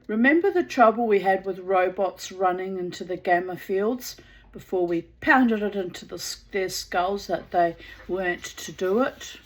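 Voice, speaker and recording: A woman's voice: medium pitch, expressive, normal speed, natural voice, rough recording. A woman with a New Zealand accent reading a male engineer in a storybook, explaining plainly to a worker. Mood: matter-of-fact. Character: weary male engineer supervising builders.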